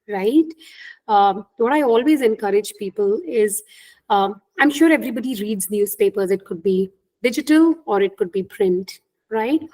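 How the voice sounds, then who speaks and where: A woman's voice: a little high, a little mellow, poor audio. A middle-aged woman with an Indian accent, a native Hindi speaker, coaching people on job interviews in an earnest webinar talk, often checking in with "right?". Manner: earnest, frequent "right?" tag questions.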